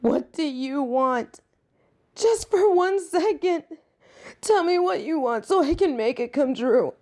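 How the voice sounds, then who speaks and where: Woman playing a passionate catgirl thief, slow and mellow. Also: high voice, animated, exaggerated voice. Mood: imploring. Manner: dramatic, emotive, addresses one listener directly. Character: flirty grown catgirl thief, proud, passionate, pleading. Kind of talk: scripted intimate audio roleplay.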